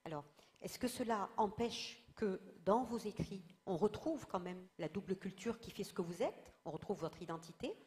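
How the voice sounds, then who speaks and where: A woman's voice: medium pitch, normal speed, a little mellow, big-room sound. A woman moderating a discussion panel, articulate, asking long, carefully built questions.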